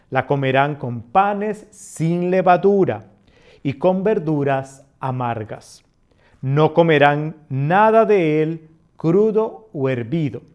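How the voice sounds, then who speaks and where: Man, middle-aged, Costa Rican accent, a bit high-pitched, scripture teacher who reads slowly and builds emphasis. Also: darkish tone. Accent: Costa Rican Spanish. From Costa Rica. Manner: reads passages slowly, then explains with swelling emphasis. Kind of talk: reading scripture and explaining it.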